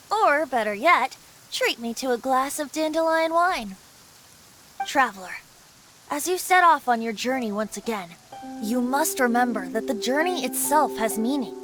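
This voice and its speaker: A voice actor playing a carefree young bard who is secretly a wind god, light, playful and lilting, over game music. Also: male voice, very high-pitched. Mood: playful, wise. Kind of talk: video game voice acting. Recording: game cutscene with clear music.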